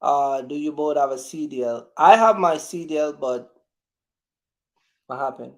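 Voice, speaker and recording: A man hosting a casual live question-and-answer chat, speaking in a halting, repetitive way and often addressing his audience directly. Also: fairly high pitch. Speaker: livestream host.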